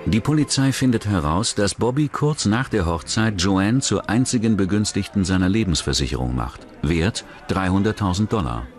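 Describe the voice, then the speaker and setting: Low male narrator, true crime doc style, matter of fact, faint music behind. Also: expressive, normal speed, few pauses. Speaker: documentary narrator.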